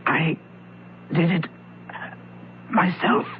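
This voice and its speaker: Troubled heir on dull old radio. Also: male voice, fairly high pitch, very slow. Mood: weak, halting. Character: defensive, troubled son of a wealthy dead man. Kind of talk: old-time radio drama acting. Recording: dull, noisy vintage radio recording.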